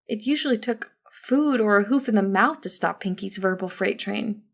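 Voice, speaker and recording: A woman's voice: medium pitch, moderate pace, vocal fry. Woman narrating a first-person story. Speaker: audiobook narrator.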